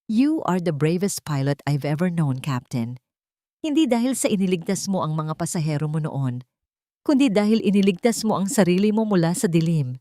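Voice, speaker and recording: A gentle grown man speaks with quiet, earnest admiration, voiced by a woman narrator. His delivery is soft and low, and the recording is close and dry. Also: expressive, moderate pace, dry recording, natural voice. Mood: tender.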